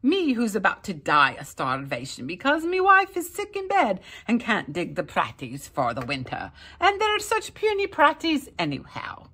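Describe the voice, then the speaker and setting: A woman's voice: medium pitch, huge pitch swings, moderate pace, few pauses, bright, forceful tone, breathy edge, pitched above the performer's own voice, full character voice. A woman voicing a lazy, self-pitying Irish peasant man who whines, with an Irish accent. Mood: complaining.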